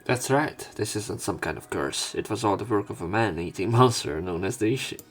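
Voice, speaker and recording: Male let's play narrator: low pitch, story-reading delivery, faint music behind. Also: lively intonation, a little fast. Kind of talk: reading visual novel script aloud, dramatic narration. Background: faint game music.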